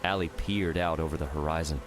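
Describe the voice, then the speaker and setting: A man's voice: very deep voice, fairly even intonation, fairly quick, noisy background. A man reading scripted fiction narration as an audiobook narrator. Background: music.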